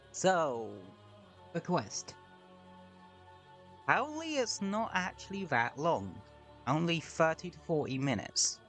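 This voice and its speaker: A man's voice: fairly high pitch, big pitch swings, takes it slowly, long pauses, a bit bright, faint music behind. A man streaming a game, talking casually in rambling, sweary asides at home. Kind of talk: casual gameplay commentary. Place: home room with window open.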